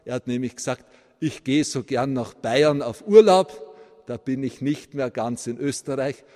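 Viennese priest preaching in a reverberant church. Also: male voice, mid-range voice, unhurried. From Vienna, Austria. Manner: animated preaching, rising to emphatic peaks, tag questions like "ja?". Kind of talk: sermon.

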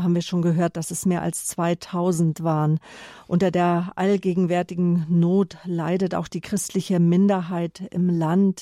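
A woman's voice: on the low side, warm tone, no room sound. A woman radio host presenting a scripted introduction and interview questions in a studio.

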